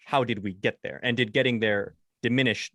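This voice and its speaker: A man's voice: mid-range voice, a little fast, pushed and bright, no echo. Rambling young man on a dry mic. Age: 20s. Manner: rambling, many restarts and fillers. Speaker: podcast co-host, dog trainer. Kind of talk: casual podcast chat.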